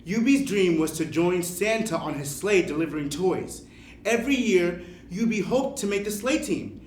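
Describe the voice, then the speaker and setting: Animated woman storyteller with noisy echo. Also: lowish voice, moderate pace. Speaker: storybook reader. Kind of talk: expressive children's storybook reading. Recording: echoey room, clear background noise.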